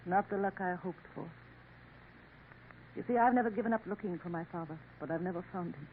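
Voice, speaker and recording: An actress playing a sorrowful young woman in a 1950s radio drama, gentle and hesitant. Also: fairly low pitch, fairly quick, lightly acted. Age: young adult. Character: sorrowful young woman working a Paris café. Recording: tinny old radio broadcast, faint hiss.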